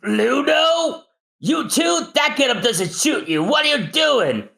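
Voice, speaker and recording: Man voicing hot-headed gang boss, shouting, high, lively swings in pitch. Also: slow, gravelly, echoey room, exaggerated voice. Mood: angry.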